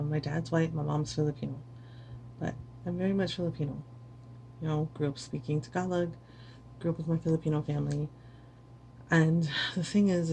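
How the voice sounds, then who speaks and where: A woman's voice: low voice, creaky, dark tone, slight background noise, poor audio. A weary woman streamer giving an earnest, impassioned speech to camera, repeating words for stress. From United States.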